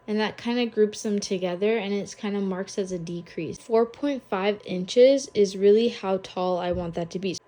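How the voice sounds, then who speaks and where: Young woman, slight cold, casual knitting tutorial, relaxed chatty delivery, mid pitch. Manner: chatty and relaxed, thinking aloud while counting stitches. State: has a cold. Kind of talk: casual step-by-step craft tutorial.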